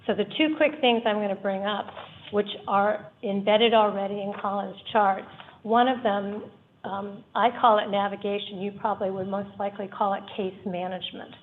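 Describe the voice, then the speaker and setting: A soft-voiced woman giving an earnest public comment at a board meeting, explaining her points with examples. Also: mid-range voice, steady tone, moderate pace, slight background noise. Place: meeting room. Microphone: room microphone. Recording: tinny phone-like meeting audio.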